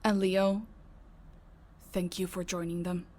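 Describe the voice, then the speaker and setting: Soft, even-toned caring vampire woman, voiced by an actress. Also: on the low side, takes it slowly. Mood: gentle concern. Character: caring, calm female vampire who looks after her housemates. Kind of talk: audio roleplay acting.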